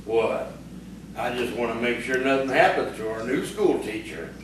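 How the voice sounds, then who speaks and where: An actor playing a gruff, sarcastic frontier bully in an audio theatre piece, taunting and bossy. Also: male voice, medium pitch, normal speed, lightly acted. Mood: needling. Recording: distant, echoey, noisy stage recording.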